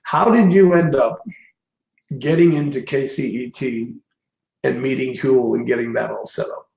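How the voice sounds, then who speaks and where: A man's voice: mid-range voice, moderate pace, gravelly. A man from the Midwest interviewing a guest in a casual chat, telling stories animatedly. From American Midwest. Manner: animated, does lively impressions when retelling. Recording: echoey room.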